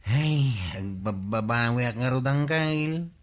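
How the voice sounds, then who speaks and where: An actor with an Ilocano accent playing an earnest, lovestruck village bachelor in a comedy radio drama. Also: male voice, medium pitch, expressive, slow. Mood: hopeful. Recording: music bed behind the voice.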